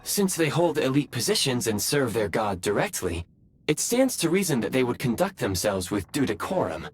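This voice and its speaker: A man plays a courteous, logical machine-like guide in a game. He speaks in precise, formal phrases at a medium pitch with broad rises and falls, over clear background music. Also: very animated, moderate pace, lightly acted. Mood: polite, composed. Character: polite, logical, formal male guide, robot-like and analytical. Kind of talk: video game cutscene acting. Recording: prominent music behind.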